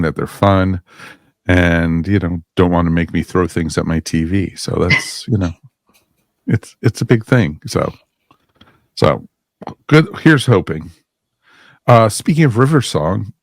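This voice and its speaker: Deep-voiced chatty man podcasting. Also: creaky, no room sound, studio quality. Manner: enthusiastic, chatty, laughs often. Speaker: podcast host. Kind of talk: casual podcast discussion.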